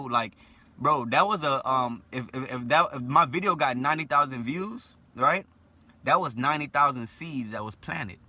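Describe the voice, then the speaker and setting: A man's voice: medium pitch, pushed and bright. A young man with a lisp, delivering a blunt, opinionated monologue to camera and tagging "right?" onto nearly every point. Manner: blunt, repetitive, asks "right?" after nearly every line. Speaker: vlogger.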